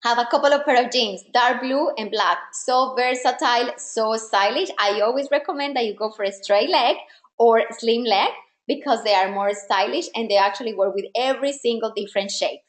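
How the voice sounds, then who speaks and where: A woman's voice: a little high, moderate pace, roomy sound. A woman style coach whose first language is not English, giving an encouraging, direct masterclass to women. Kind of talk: live online masterclass.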